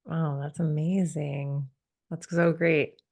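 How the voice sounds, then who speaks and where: Low, gravelly-voiced woman podcast host. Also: little pitch movement, slow pace, darkish tone. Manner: enthusiastic, affirming. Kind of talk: podcast interview.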